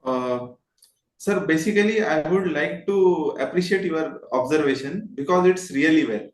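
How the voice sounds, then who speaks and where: Higher-pitched Indian man, echoey room. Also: normal speed. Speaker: guest, voice modulation trainer. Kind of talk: conversational interview explanation. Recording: roomy, echoing sound.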